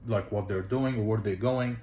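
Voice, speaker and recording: A man's voice: on the low side, fairly even intonation, normal speed, dark tone, reverberant, slight background noise. Egyptian-accented man giving loose travel tips. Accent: Egyptian Arabic. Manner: loose, rambling, with many fillers and restarts. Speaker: travel vlogger. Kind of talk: casual travel tips to camera.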